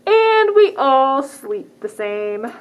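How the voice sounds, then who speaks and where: A woman's voice: high voice, very animated, drawn-out words, full character voice. A woman reading as a prim flock of pigeons. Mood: proud. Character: chorus of prim, uniform pigeons.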